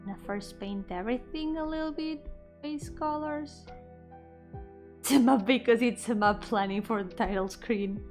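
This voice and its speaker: A woman's voice: on the high side, moderate pace, darkish tone. A woman chatting casually on an art livestream, thinking aloud in a playful, self-deprecating way. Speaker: VTuber art streamer and game developer. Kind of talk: casual livestream chat while drawing, switching between English and Spanish. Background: background music.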